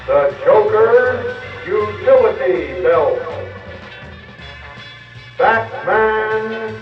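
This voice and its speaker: High, drawn-out male theme singing. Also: lively intonation, dull audio. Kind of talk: theme song singing and title announcing. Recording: echoey distant vintage record, loud music.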